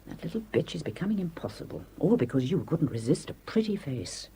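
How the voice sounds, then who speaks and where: A woman's voice: sits low, sing-song, a little fast, warm tone, slight background noise. An actress playing Claire, a scheming, haughty middle-aged woman who scolds her brother and orders the maid about in a radio drama. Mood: imperious. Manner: cutting, scornful, bossy. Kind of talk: 1970s radio drama acting.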